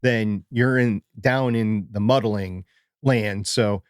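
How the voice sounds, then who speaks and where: A man co-hosting a casual podcast chat in a soft, quiet voice with a steady, consistent delivery. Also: on the low side, slow, smooth, dry recording, studio quality. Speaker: podcast co-host.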